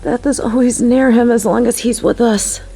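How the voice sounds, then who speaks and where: A woman playing a young female mafia boss in an audio roleplay, possessive and menacing yet doting, speaking deliberately. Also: a little high, fairly even intonation, creaky, loud music behind the voice, rough recording. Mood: uneasy, brooding. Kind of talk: scripted audio roleplay acting.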